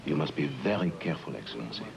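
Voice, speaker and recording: An actor playing a grave, deferential informant with a gravelly voice and formal, halting speech in an old-time mystery drama. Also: male voice, rumbling low, animated, heavy background noise, full character voice. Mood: grave, regretful.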